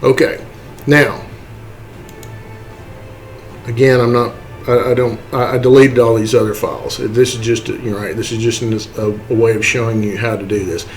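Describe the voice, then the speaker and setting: A man giving a casual, step-by-step software walkthrough, informally checking in with okay and right as he goes. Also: mid-range voice, fairly even intonation, normal speed, long pauses, soft background music. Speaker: tutorial presenter. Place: indoors, voice-over for a screen recording.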